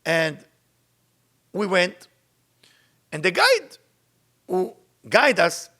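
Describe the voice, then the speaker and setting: A man's voice: high-pitched, wildly swooping pitch, bright, forceful tone. Emphatic Israeli man teaching Kabbalah. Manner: emphatic, repeats phrases for stress; rapid recitation when reading Hebrew text. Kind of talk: informal spoken teaching with storytelling.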